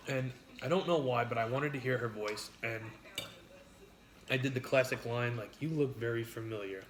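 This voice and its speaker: A man's voice: medium pitch, moderate pace. A man chatting casually and bluntly over food, with some swearing. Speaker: mukbang co-host. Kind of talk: casual chat while eating. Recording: echoey room with busy background chatter.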